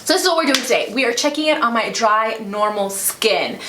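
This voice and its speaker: A middle-aged woman with a raspy voice from a lingering cold, chatting through a product review as a beauty vlogger. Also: on the high side, expressive, brisk. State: getting over a cold. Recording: roomy echo, faint background noise.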